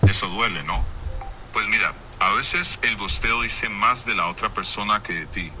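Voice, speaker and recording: A man voicing an AI chatbot giving calm, measured advice. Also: lowish voice, faint music behind. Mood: soothing. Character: AI chatbot advisor, male, soothing.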